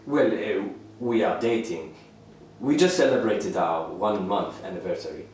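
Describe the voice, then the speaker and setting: A man playing a smug, manipulative houseguest in a radio thriller, measured, falsely reasonable and condescending. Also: lowish voice, a little mellow, close to an everyday voice. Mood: falsely concerned. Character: smug, manipulative male houseguest who refuses to leave. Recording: roomy, distant, faint background noise.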